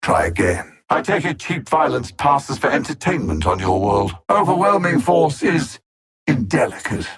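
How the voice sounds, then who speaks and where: A cold alien supervillain from a video game, gravelly and husky at a middle pitch. The intonation rises and falls widely, with deliberate, scornful phrasing. Every phrase carries condescension and menace. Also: male voice, big pitch swings, a bit bright, full character voice. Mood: smug, menacing. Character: cold, arrogant alien supervillain machine intellect scorning Earthlings. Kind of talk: scripted video game villain dialogue.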